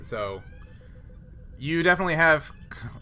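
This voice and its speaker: Bright-voiced man running a sci-fi tabletop game. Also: on the high side, lively intonation, slight background noise. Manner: halting, explanatory. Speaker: game master.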